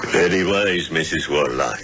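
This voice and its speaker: A man's voice: very deep voice, a little slow, bright, forceful tone, heavily acted. An actor playing a suave doctor who presides over a witches' coven, speaking formally and ceremoniously in old-time radio drama style. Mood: smooth, courteous. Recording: dull, hissy old radio recording.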